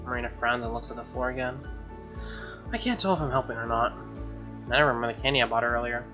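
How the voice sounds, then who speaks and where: A man's voice: medium pitch, quick pace. A young man doing casual, slangy game commentary and reading the narration aloud, often sounding exasperated. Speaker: gamer doing a playthrough. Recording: dull lo-fi mic, music underneath. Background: game music.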